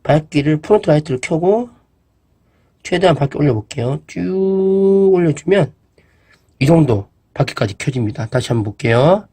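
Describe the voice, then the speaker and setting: Husky-voiced man chatting through a gadget demo. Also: fairly high pitch, moderate pace. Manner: relaxed, chatty, thinks aloud with fillers and asides. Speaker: product reviewer. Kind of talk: casual product demo vlog.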